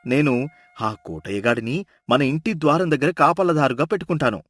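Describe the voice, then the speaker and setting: A man voicing a poor, greedy village husband in a storybook drama, talking in a lively, excitable way. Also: medium pitch, normal speed, dry recording, lightly acted.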